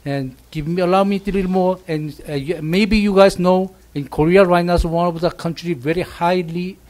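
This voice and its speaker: A man on a school board who speaks haltingly, searching for words. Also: sits high, fairly even intonation, darkish tone. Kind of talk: board meeting remarks.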